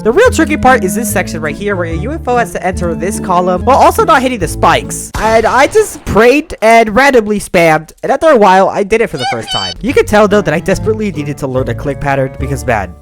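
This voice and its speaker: A young man with a high voice for a man narrates his gaming vlog in an energetic, playful, self-mocking way. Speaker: gaming YouTuber and streamer. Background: background music.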